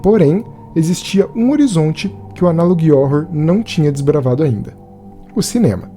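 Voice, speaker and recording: A man's voice: on the high side, lively intonation, moderate pace, warm tone, faint music behind. A man with a Brazilian accent giving a conversational scripted narration as a film reviewer. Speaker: video essayist and film reviewer.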